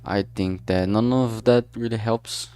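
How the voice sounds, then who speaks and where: A man's voice: on the low side, fairly even intonation, measured pace, dark tone, clean tone, dry recording. A man chatting casually on a work stream, thinking aloud hesitantly and often trailing off. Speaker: streamer making a visual novel.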